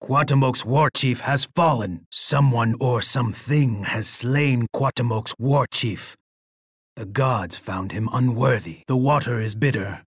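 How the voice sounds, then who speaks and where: A menacing Aztec emperor from a video game, in a medium-pitched male voice. He is pompous and boastful, delivering each line as an imperious, dramatic pronouncement. The sound is close and dry. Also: measured pace, husky, no echo. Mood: grave, urgent. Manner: pompous, speaks of himself in the third person.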